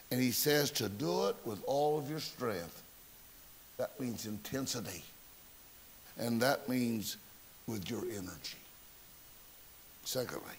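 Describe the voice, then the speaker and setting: A man preaching a sermon, telling folksy stories and asking the congregation rhetorical questions. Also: mid-range voice. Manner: folksy storytelling with rhetorical questions, self-mocking. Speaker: pastor. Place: church sanctuary.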